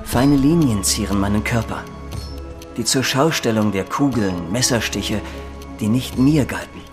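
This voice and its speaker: An actor playing a stoic, tormented guardian who bears others' wounds in a fantasy audio drama. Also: male voice, lowish voice, expressive, measured pace, loud music behind the voice. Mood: pained, grave.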